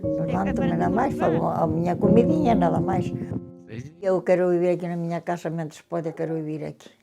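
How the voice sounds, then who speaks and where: Older woman, low voice, loud music. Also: a little fast, creaky. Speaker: documentary interviewee.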